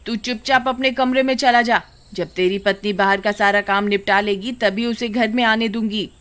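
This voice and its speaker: A woman's voice: mid-range voice, fairly even intonation, moderate pace, fairly bright tone, close to an everyday voice. A woman playing a cruel, greedy older village mother-in-law in a cartoon story, commanding and sarcastic. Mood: scolding, demanding. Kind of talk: Hindi animated horror story acting.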